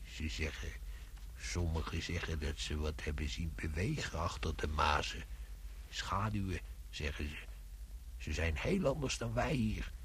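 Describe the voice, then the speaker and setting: Deep-voiced grumbling workman. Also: measured pace, a bit bright, a little hiss or hum, exaggerated voice. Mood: hushed wondering. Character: disgruntled working man hauling a corpse, grumbling, dreamy, bullying. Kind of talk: radio play acting.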